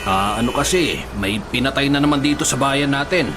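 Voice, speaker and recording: A man's voice: mid-range voice, a little fast, noisy background, close to an everyday voice. An actor playing a gossipy, matter-of-fact male police officer explaining things in a Filipino radio drama, with a Filipino accent. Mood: grave, confiding. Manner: forthcoming, explanatory.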